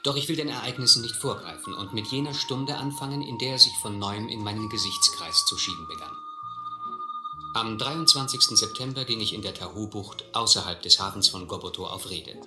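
Man as a low-voiced seafaring adventurer, roomy sound. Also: close to an everyday voice. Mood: reflective. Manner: measured, earnest, storytelling. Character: seasoned, restless South Seas schooner captain recounting adventures. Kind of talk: German radio play narration, literary and scripted. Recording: roomy recording with music behind.

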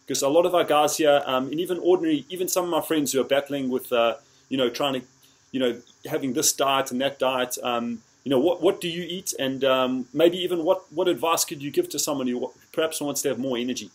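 A man's voice: mid-range voice, brisk, breathy edge, low-quality recording. Hesitant male interviewer on a video call. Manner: hesitant, many fillers. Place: indoor room. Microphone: laptop or phone on a video call.

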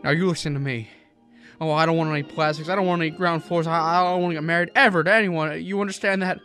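Guy acting flustered young small-town fella, stammers, slightly high voice, old radio drama style, music behind. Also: steady tone, bright, forceful tone. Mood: frustrated outburst. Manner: stammering, halting. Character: earnest, flustered young small-town man, restless and stubborn.

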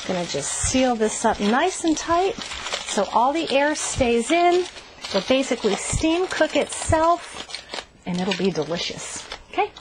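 A woman's voice: mid-range voice, a little hiss or hum, low-quality recording. A woman hosting a cooking show, walking through a recipe step by step in a cheerful, instructive way. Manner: cheerful, instructive, says "delicious" often. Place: RV kitchen and outdoor grill.

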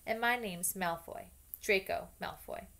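A woman's voice: medium pitch, unhurried, gravelly, fairly bright tone. Snobbish rich boy, as a woman reader puts him on, drawling and taunting. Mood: smug. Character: sneering snobbish rich schoolboy.

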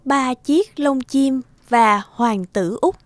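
A woman's voice: sits high, slow, no room sound. A woman reading scripted fairy-tale narration as the narrator.

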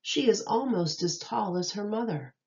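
A woman teacher reading a children's nonfiction book aloud, enunciating carefully and deliberately for young listeners. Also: mid-range voice, lively intonation, a little mellow, dull audio.

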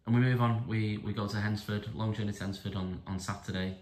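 A man asking a football manager steady post-match questions for the club's media. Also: lowish voice, brisk, roomy sound. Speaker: club media interviewer. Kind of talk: interview questions.

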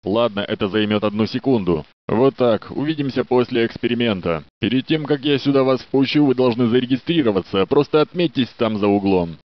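A man's voice: mid-range voice, a little fast, few pauses, no room sound, natural voice. Man doing a polite security guard, dry dub. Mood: friendly. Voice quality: steady, courteous, a little flirtatious. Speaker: security guard in a research facility. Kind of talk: Russian game dub voice lines. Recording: dry, dull studio dub.

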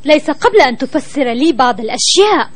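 A woman voicing a bossy, sharp-witted female bird in a dubbed children's cartoon, in an assertive manner. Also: sits high, expressive, fast talker. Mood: sceptical.

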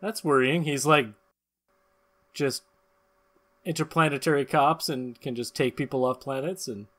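A man's voice: medium pitch, measured pace, fairly bright tone. A middle-aged man co-hosting a podcast, reading comic captions dramatically and bantering casually. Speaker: podcast co-host, narrator.

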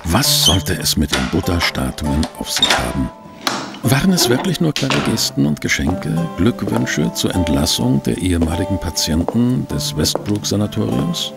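An actor playing an eager, shrewd male investigator in a German audio drama, with a gravelly, husky voice and expressive delivery. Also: low voice, moderate pace, loud music behind the voice, full character voice. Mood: intrigued.

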